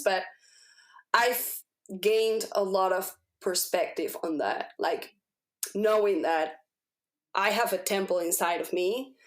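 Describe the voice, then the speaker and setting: A woman whose first language is Spanish, a podcast guest giving a personal testimonial, earnest and often searching for words as she repeats herself. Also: medium pitch. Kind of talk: personal testimonial in casual conversation.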